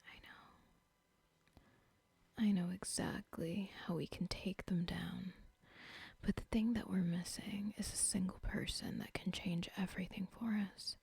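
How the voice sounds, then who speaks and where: A woman's voice: lowish voice, fairly even intonation, a little mellow, no echo, exaggerated voice. A woman whispering as a scheming cartel boss. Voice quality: breathy whisper. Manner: slow, close whispering, plotting aloud. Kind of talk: ASMR roleplay.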